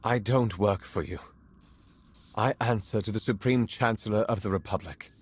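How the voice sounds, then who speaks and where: An actor playing a desperate, guilt-ridden spy in a video game, with a husky voice. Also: male voice, fairly low pitch, normal speed. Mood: defeated, confessing. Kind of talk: video game cinematic acting.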